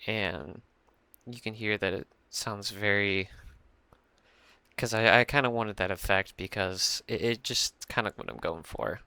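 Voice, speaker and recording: A young man with a low voice, rambling through a casual mixing tutorial at his computer, full of fillers and restarts. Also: measured pace, pushed and bright, clean tone, no room sound. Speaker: music producer explaining a mix. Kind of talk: casual screen-share tutorial. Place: small room at a computer.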